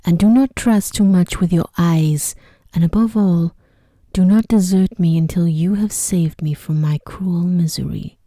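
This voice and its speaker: A woman voicing a tender, pleading young prince in a hushed, whispery ASMR storybook reading. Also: on the low side, a little slow, dry recording, studio quality. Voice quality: soft, whispery. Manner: earnest, gentle, hushed.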